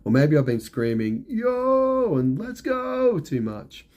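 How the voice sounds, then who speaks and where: A middle-aged man with a hoarse, fading voice, chatting casually on a live stream and answering viewers' questions with lots of ums and you-knows. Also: fairly high pitch, big pitch swings, slow, a little mellow. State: hoarse, losing his voice.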